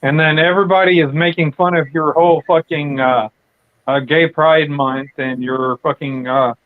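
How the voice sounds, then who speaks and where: A man's voice: fairly high pitch, steady tone, normal speed, no echo. Tinny-sounding guy rambling. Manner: rambling, profane, many fillers and restarts. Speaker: channel host. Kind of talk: late-night casual chat stream. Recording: tinny dry phone-like audio.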